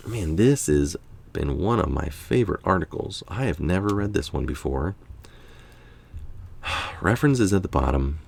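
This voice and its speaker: A man with a low, gravelly voice reads scientific prose aloud in an informal, musing way. His pitch moves around in a lively manner, and he sometimes trips over technical terms. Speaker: article reader and commentator. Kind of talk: reading a science article aloud with casual asides.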